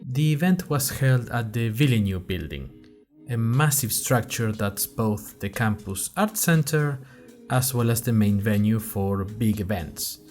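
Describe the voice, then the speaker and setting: A man's voice: mid-range voice, darkish tone, lightly acted. A man playing a wry college freshman who narrates his audio diary in a dry, conversational podcast style with hesitant asides. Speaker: podcast host character.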